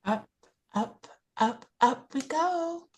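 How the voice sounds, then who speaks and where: A woman playing a practical, good-humoured older home caregiver in a play reading, brisk, matter-of-fact and coaxing. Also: high-pitched, lively intonation, darkish tone, heavily acted. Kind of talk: table read of a play, naturalistic acting.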